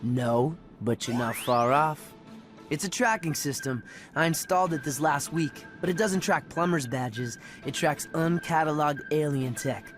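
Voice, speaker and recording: An actor playing a cocky teenage gearhead in a game cutscene, with a blunt, sarcastic, offhand delivery. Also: male voice, fairly high pitch, close to an everyday voice. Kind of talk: animated game cutscene acting.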